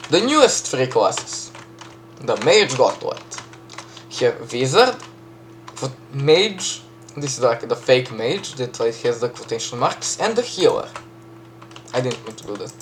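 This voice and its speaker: A man with a Serbian accent casually walking through a game map he built, chatty, full of fillers and quick self-corrections. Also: mid-range voice, sing-song, a little hiss or hum. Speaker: map creator giving a tour. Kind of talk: casual gameplay walkthrough.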